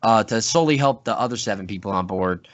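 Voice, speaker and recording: A man's voice: fairly low pitch, lively intonation, fast. A man hosting a casual podcast chat, speaking earnestly in long, rambling sentences. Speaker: podcast host.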